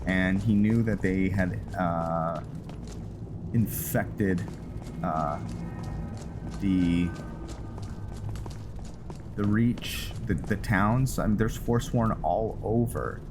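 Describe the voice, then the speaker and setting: Deep-voiced, unhurried gaming host. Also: male voice, over music. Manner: musing, rambling with fillers. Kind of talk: casual roleplay gameplay commentary.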